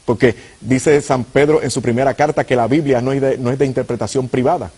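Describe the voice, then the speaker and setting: Husky Puerto Rican preacher man. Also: medium pitch, fairly even intonation, brisk, mellow. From Puerto Rico. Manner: earnest, addresses viewers directly. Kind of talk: apologetics teaching.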